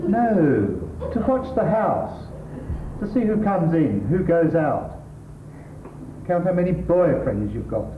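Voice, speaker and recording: Sardonic middle-class English husband played by a man, lightish pitch, exasperated, theatrical; tinny, distant stage recording. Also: very animated, takes it slowly, roomy sound, slight background noise. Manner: exasperated, pedantic, dry sarcasm. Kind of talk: theatrical stage comedy acting.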